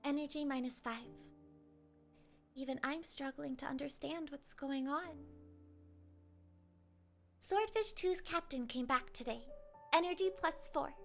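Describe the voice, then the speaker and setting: A woman playing a dutiful, gentle young priestess leader who narrates her diary in an anime game, weary and earnest. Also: high voice.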